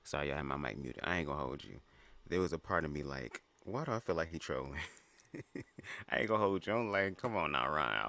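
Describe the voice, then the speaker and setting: A man hosting a reaction video, talking in a laid-back, slangy, quiet way. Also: extremely low pitch, gravelly, no room sound. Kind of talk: casual reaction commentary.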